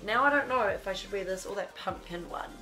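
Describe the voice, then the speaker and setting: A woman's voice: mid-range voice, animated, a little fast, bright, forceful tone, soft background music. A young woman, blocked up from a cold, gushing casually through a clothing haul in a small bedroom studio. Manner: chatty, gushing, lots of "like". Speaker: fashion vlogger.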